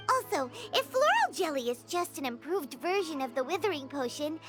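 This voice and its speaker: Tiny excitable female game fairy: squeaky, extremely high, wildly swooping delivery, music underneath. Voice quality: squeaky, childlike. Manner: exclamatory, refers to herself in third person. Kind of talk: video game character acting.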